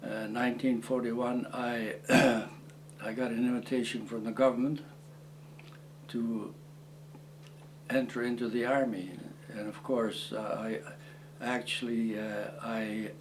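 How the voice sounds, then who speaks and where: Halting elderly man reminiscing. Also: medium pitch, little pitch movement, a little hiss or hum. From Croatia. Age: 80s. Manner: halting, many fillers and restarts; plain, matter-of-fact storytelling. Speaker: war veteran interviewee. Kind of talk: oral history recollection.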